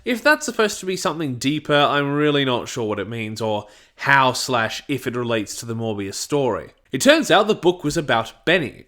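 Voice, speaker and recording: A man delivering a scripted, opinionated review as narration, in an expressive manner. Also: mid-range voice. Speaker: YouTube audio drama reviewer.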